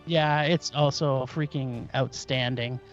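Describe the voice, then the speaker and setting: A man's voice: medium pitch, steady tone, slow, dull audio, faint music behind. A man hosting a casual movie podcast, enthusiastic and stumbling over foreign film titles. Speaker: podcast host.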